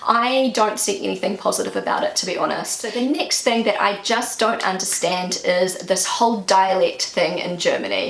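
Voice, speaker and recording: Gravelly New Zealand woman vlogging. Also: mid-range voice, normal speed, hardly any pauses, slight background noise. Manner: chatty and earnest, voice jumps up for emphasis. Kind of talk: casual opinion vlog to camera. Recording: echoey room.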